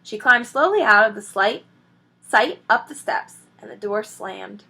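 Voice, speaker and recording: A woman, a teacher, reading a children's story aloud with expressive narration. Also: medium pitch, a little slow, vocal fry.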